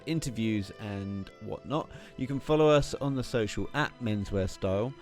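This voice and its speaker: A man's voice: mid-range voice, a little mellow. A man hosting a podcast in a chatty, tongue-in-cheek way as he presents and interviews.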